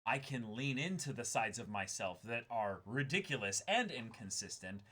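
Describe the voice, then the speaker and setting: Man with a bright, forceful voice. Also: medium pitch, animated, normal speed, husky. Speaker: online reaction channel host. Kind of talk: casual unscripted discussion.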